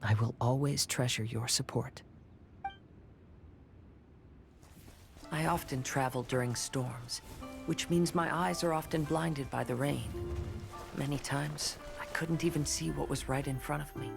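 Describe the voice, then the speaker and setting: An actor playing a serene young wandering swordsman in a fantasy game, speaking in a gentle, contemplative, poetic way. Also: mid-range voice, moderate pace, vocal fry, over music, close to an everyday voice. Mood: serene, resolved. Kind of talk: anime fantasy game voice acting.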